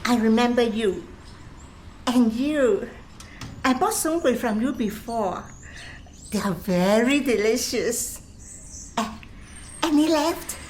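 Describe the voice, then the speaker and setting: A fairly high, slow female voice from an actress plays a glamorous Singaporean dancing girl. She is confident and haughty in radio-drama style, over faint ambience. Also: expressive, darkish tone, slight background noise. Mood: smooth, confident. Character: self-assured, glamorous nightclub dancing girl, haughty with rivals. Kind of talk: radio play acting.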